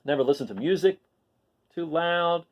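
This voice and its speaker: A man reading a children's picture book aloud expressively, pausing for asides and questions to his listeners. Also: fairly high pitch, dull audio. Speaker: read-aloud storyteller.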